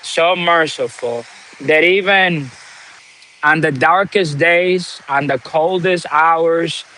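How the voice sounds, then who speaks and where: Slow, preachy man. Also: a little high, pushed and bright, rough recording. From Dominican Republic. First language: Spanish. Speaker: livestream host. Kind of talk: motivational live-stream talk.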